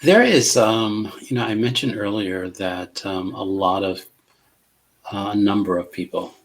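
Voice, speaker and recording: A middle-aged man with a fairly low voice talks slowly and calmly on a video call. He keeps his words measured and gentle, often pausing with fillers and starting over. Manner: measured, calm, with many fillers and restarts. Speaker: co-host. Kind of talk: casual conversation.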